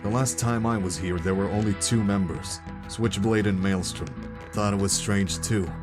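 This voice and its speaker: A man playing a blunt, world-weary squad captain in an audio drama, with a dry, deadpan manner. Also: on the low side, moderate pace, natural voice. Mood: dry, wary. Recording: loud music behind.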